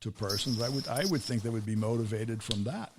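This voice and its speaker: A man's voice: on the low side, fairly quick, background noise. A middle-aged American man hosting a podcast interview, asking questions in a loose, rambling way with restarts. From United States. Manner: conversational, asks questions in rambling runs with restarts. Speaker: podcast host.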